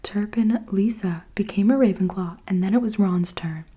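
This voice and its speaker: A woman's voice: medium pitch, normal speed, mellow, breathy edge. Woman whispering a storybook. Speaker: reader. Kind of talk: whispered ASMR storybook reading.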